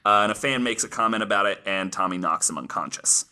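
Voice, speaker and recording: A man's voice: on the low side, fast talker, sharp tone, slight background noise. A man hosting a casual podcast, telling stories from his notes in an informal, amused, sweary way. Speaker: podcast host.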